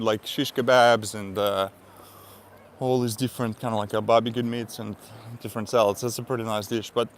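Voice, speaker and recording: A man's voice: medium pitch, slight background noise. Laid-back bilingual man, laughing often. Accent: Eastern European. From Eastern Europe. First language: Russian. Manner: rambling, laughs often, many fillers. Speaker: vlogger. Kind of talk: casual live-stream chat.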